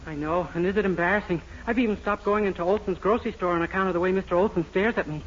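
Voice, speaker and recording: Boyish, timid young man, high voice, earnest sheepish delivery, vintage radio comedy with muffled sound. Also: fairly even intonation, very fast, a bit bright. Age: young adult. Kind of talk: 1940s radio comedy acting. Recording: dull, faintly noisy old radio recording.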